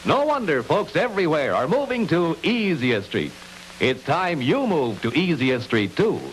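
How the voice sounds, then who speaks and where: Brief: salesmanlike 1950s announcer, higher-pitched and lively, on hissy old commercial audio. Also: male voice, measured pace, rough recording. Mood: upbeat selling. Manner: emphatic, salesmanlike. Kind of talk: 1950s radio-style advertising announcing.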